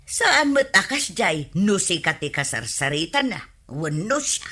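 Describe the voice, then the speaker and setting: A slow, bright-voiced village gossip. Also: female voice, fairly low pitch, big pitch swings, husky, full character voice. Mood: complaining. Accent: Ilocano. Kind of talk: Ilocano comedy radio drama acting.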